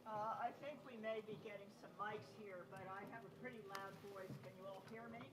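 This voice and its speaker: A woman's voice: medium pitch, fairly even intonation, a little fast, noisy background. Woman, forum moderator, curious conversational questioner in a conference setting. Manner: inquisitive, conversational with fillers. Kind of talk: policy forum discussion. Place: conference hall.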